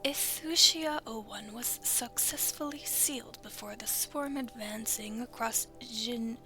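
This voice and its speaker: A woman's voice: fairly high pitch, a little slow, over music, low-quality recording. A woman whispering as she plays a game, reading in-game messages aloud with hesitant asides. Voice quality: whispery and creaky. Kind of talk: soft whispered game playthrough.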